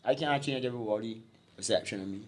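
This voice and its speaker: Fast-talking man. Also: fairly low pitch, over a noisy crowd. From Barbados. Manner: quick, loose banter with many backchannels. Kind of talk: casual podcast chat.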